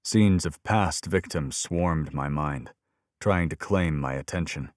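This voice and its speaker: A man's voice: very deep voice, slow, no room sound, clean studio sound. A man reading scripted first-person crime fiction as an audiobook narrator, telling it as a burned-out detective.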